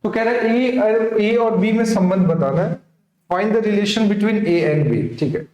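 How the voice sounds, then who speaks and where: A man's voice: sits high, takes it slowly, mellow, lo-fi sound. A man with an Indian accent teaching an exam-prep math lesson, working through problems and often checking that students follow. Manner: instructive, checks understanding with frequent short questions. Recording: very echoey room.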